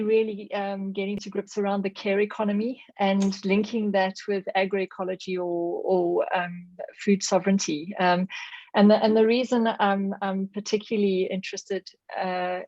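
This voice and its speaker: A woman's voice: medium pitch, steady tone, a little slow, a little mellow, no room sound. A woman with a South African accent asking a long, thoughtful question in an online seminar. She searches for words and restarts her phrases often. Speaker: audience member asking a question. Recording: dull dry video call audio.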